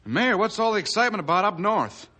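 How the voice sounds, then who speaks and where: Bright, animated nosy townsman. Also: high voice, fast talker, full character voice. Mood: concerned. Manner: insistent, emphatic. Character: nosy, concerned small-town townsman. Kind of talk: radio adventure drama acting.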